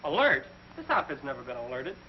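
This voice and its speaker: An actor playing a blunt, matter-of-fact army corporal in an old film. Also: male voice, mid-range voice, very animated, a little fast, natural voice. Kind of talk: mid-century film acting. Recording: dull old film soundtrack, faint noise.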